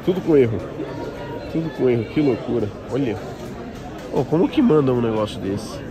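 A man's voice: mid-range voice, lively intonation, normal speed, over music. A Brazilian man with a Brazilian Portuguese accent, chatting informally and in slang as he gives a casual walk-around vlog commentary. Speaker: toy collector and vlogger. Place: busy toy convention, recorded on the move. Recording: busy crowd noise of a convention. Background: crowd chatter.

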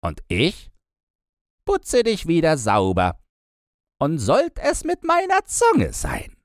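A man voicing a sly, bargaining Devil in a fairy-tale audiobook, mocking and commanding, with a theatrical, drawn-out delivery. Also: fairly high pitch, huge pitch swings, dry recording, studio quality, voice pushed up, heavily acted.

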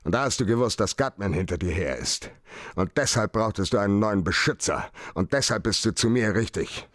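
An actor playing a cold, sardonic private detective in a radio crime play, speaking in terse, accusing lines. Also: male voice, on the low side, animated, brisk, no echo, clean studio sound, natural voice. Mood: coldly accusing. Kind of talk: radio crime drama acting.

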